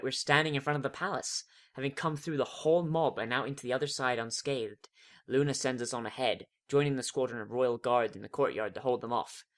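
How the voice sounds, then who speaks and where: A man's voice: medium pitch, a bit bright. A man giving a dramatic first-person reading of a fan fiction story.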